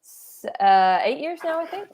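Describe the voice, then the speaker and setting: A woman from the United States with an American accent tinged with Australian, chatting as a podcast guest in a relaxed, talkative way. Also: high-pitched, a little slow, dull audio, crowd noise. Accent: American with a slight Australian tinge. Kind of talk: casual chat.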